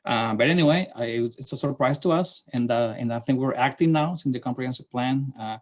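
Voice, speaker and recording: A middle-aged man from Chile with a Chilean Spanish accent, giving a slide presentation to a class in a halting way with many fillers and restarts. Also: mid-range voice. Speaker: city transportation planner presenting to a class.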